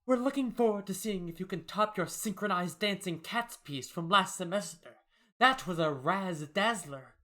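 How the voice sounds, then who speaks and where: A man's voice: high-pitched, animated, moderate pace, sharp tone. A man voicing a prim, genteel pony exam judge with a formal, expectant manner in a comic dub.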